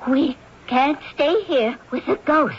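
A timid, frightened woman speaks in a high voice, slowly and breathlessly. The old radio recording is dull and carries a faint hiss. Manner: breathless, fearful. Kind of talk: 1970s radio drama acting.

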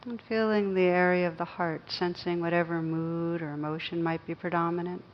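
A woman with a fairly low voice leads a guided meditation. She speaks gently and takes her time, leaving long pauses between short phrases. The recording is a bit muffled, with faint noise behind her. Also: flat delivery. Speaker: meditation teacher.

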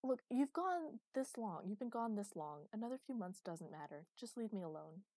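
A woman's voice: medium pitch, big pitch swings, normal speed, dark tone, no echo, voice pushed up. Woman acting an exasperated, imperious immortal queen. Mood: sad, resigned. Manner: bitter, sardonic, hissing outbursts.

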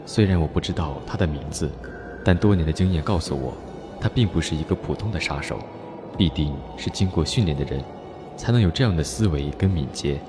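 A man acting a cheerful, persistent young martial-arts hero in a radio drama, with a teasing, expressive delivery. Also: a bass voice, fairly quick. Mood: reflective. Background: music score underneath.

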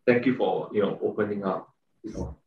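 Rambling, filler-heavy male co-host, lowish voice, roomy muffled recording. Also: fairly quick, recorded from a distance, slight background noise. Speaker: podcast co-host. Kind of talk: casual podcast chat.

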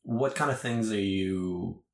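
A man's voice: lowish voice, slow pace, gravelly. A man whose first language is Russian, interviewing in a casual chat and asking curious, probing questions with dry quips. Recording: roomy echo.

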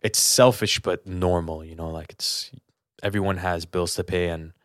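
A man's voice: low voice, fairly even intonation, normal speed, fairly bright tone, dry recording, clean studio sound. A man co-hosting a podcast, talking casually and candidly in an earnest, explanatory, colloquial way. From lives in Los Angeles. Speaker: podcast co-host.

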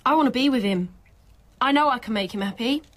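A woman's voice: fairly high pitch, expressive, brisk, fairly bright tone. An actress playing a defiant, obsessively lovesick young working-class woman in a British radio drama, blunt, colloquial and insistent.

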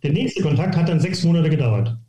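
A man in his sixties from near Hamburg, a golf coach telling lively, self-ironic anecdotes as a podcast guest. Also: a little high, a little fast. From northern Germany, near Hamburg. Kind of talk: casual interview storytelling. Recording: roomy echo.

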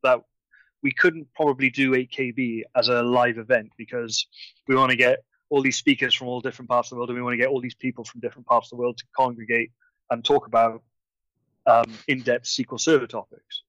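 A man's voice: mid-range voice, level tone, brisk, fairly bright tone, no echo, rough recording. A man from Wales, chatting enthusiastically as a guest on a video call and tripping over his words now and then. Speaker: conference organiser guest. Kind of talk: casual video-call chat.

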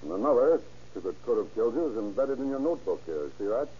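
An actor playing a kindly police doctor in an old radio drama, measured and reassuring. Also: male voice, mid-range voice, big pitch swings, dark tone, breathy edge. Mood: calm. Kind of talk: 1930s radio acting. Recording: dull old radio recording.

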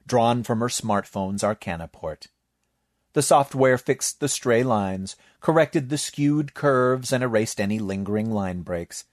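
A man reading a horror comedy story aloud in a measured storytelling manner. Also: on the low side, no room sound, studio quality. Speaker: narrator. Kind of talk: scripted story narration.

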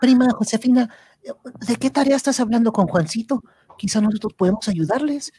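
A woman's voice: a little high, fairly quick, warm tone, slight background noise, natural voice. A woman playing a helpful, earnest young boy in an amateur radio drama, speaking as if reciting.

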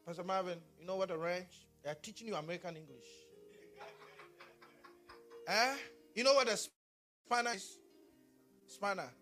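A man's voice: high-pitched, moderate pace, pauses often, faint music behind. A man from Ghana with a Ghanaian accent, preaching a sermon and talking back and forth with his congregation. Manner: animated, talks with the congregation. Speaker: pastor.

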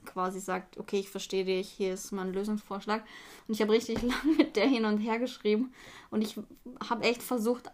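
A woman's voice: medium pitch, flat delivery, moderate pace. A young woman, a guest in a casual interview, talking eagerly and rambling a little. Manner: eager, rambling, quotes messages. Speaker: influencer and business owner, guest.

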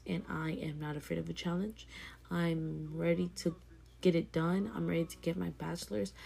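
A woman's voice: low voice, vocal fry, a little mellow. A woman in her twenties giving a casual, earnest pep talk to her viewers, repeating key phrases for emphasis. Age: 20s. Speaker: vlogger. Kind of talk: casual motivational talk to camera.

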